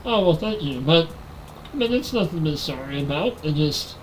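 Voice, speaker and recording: A man's voice: fairly high pitch, moderate pace, a little hiss or hum, rough recording. A man in his forties with a nasal voice, chatting casually with viewers on a live stream while playing a game on a headset mic. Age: 40s. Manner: relaxed, talks to chat while playing.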